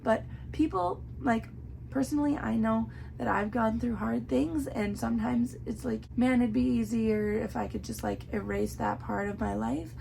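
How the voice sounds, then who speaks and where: A young woman with a hoarse, worn-out voice, chatting casually through a book review with lots of filler words. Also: a little high, steady tone, normal speed, warm tone, slight background noise, poor audio. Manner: chatty, many "like" fillers, earnest.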